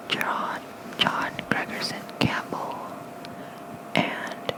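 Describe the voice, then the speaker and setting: Slow, soft ASMR whisperer. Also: poor audio. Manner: soft, hushed, unhurried bedtime reading. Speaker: ASMR creator reading aloud. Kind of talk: whispered ASMR reading of folklore.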